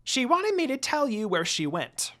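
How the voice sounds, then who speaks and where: An eager little male imp servant, speaks in a very high squeak. He is deferential and exclaims his reports to his master in a fantasy tale. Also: wildly swooping pitch, normal speed, pushed and bright, heavily acted. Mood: dutiful, reporting.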